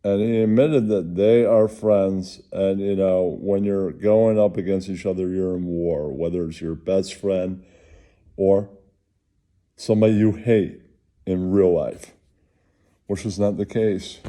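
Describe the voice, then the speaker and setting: Male host, low-pitched and very dark in tone, halting delivery, casual rambling commentary. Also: fairly even intonation.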